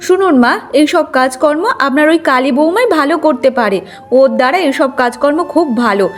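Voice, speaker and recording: A two-faced young wife in an animated fable, voiced by a woman, resentful and sharp-tongued about her chores. The voice sits high over faint music. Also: normal speed, natural voice. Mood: defiant, irritated. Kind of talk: cartoon moral tale acting.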